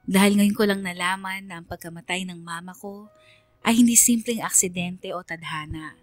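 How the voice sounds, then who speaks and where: A woman performing solemn, measured first-person narration as a haunted letter sender in a radio drama. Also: fairly low pitch, no room sound, faint music behind, close to an everyday voice. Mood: pained.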